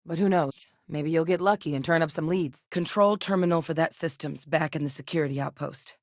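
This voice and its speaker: Grounded woman scientist and field veteran, game companion, low voice, measured matter-of-fact delivery, dry studio sound. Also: no echo. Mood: steady. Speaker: video game companion character. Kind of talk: video game voice lines. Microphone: studio microphone.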